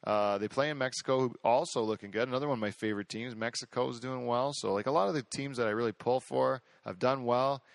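This is a male podcast host with a middle-pitched voice, talking in a loose, rambling chat. He brags and gets excited, circling back on his own words. The sound is dry and close, with no room around it. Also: quick pace, gravelly, few pauses, dry recording. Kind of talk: casual chat.

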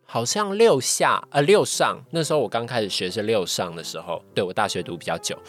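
A man's voice: lowish voice, lively intonation, a little fast, faint music behind. A young man with a Taiwanese Mandarin accent chatting casually as a podcast guest, recounting experiences a little hesitantly with repeated phrases. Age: young adult.

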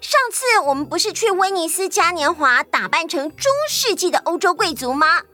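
Woman acting a high-pitched, bubbly, giggly young assistant. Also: normal speed, faint music behind, full character voice. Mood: proud, excited. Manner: excitable, chatty, boastful. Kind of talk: children's audio story acting.

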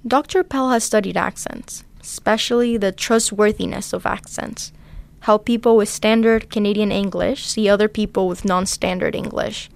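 A woman's voice: medium pitch, steady tone, normal speed, gravelly. A young woman from Panama, a native Spanish speaker with a slight accent, narrating a documentary in the first person as its reporter.

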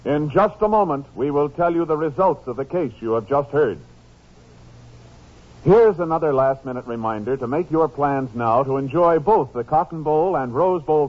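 High-pitched vintage American radio announcer, male. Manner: formal, polished promotional delivery. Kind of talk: 1950s network radio announcing, scripted. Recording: muffled vintage radio broadcast, music behind.

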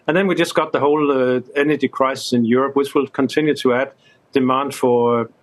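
Halting male analyst, medium pitch. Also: steady tone. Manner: halting, repeats words mid-sentence. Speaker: bank commodities analyst, interview guest. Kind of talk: live interview commentary.

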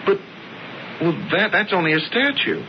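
An actor playing a lovesick, anguished sculptor, the younger brother in a radio drama, speaking earnestly with halting, stammering phrasing. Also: male voice, high voice, very animated. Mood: bewildered. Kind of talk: 1970s radio drama acting. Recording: dull, noisy old radio broadcast.

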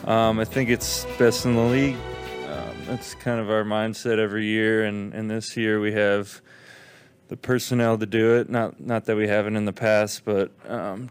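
Low flat-voiced football player. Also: male voice, clean tone. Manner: halting, lots of ums and restarts. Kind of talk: locker room interview answer.

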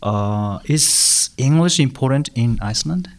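Lively male interviewer. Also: mid-range voice, measured pace. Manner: encouraging, frequent short backchannels. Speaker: podcast host and interviewer. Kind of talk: casual interview.

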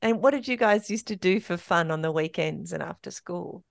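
A woman's voice: lowish voice, normal speed, creaky, no echo, studio quality. An Australian woman in middle age hosting a podcast, chatty and upbeat, laughing often in casual interview talk. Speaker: podcast host.

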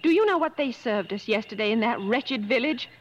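An actress playing a proud, headstrong English wife on an expedition, haughty and clipped, in 1950s radio drama style. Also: female voice, a little high, expressive, fairly quick, close to an everyday voice. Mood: indignant. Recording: muffled, noisy old radio broadcast.

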